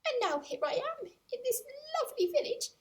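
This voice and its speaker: A woman's voice: extremely high pitch, sing-song, moderate pace, voice pushed up. A woman voicing a happy, sentimental female guinea pig with a wistful, gushing manner, in an audiobook. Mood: dreamy, happy. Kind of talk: audiobook character voice.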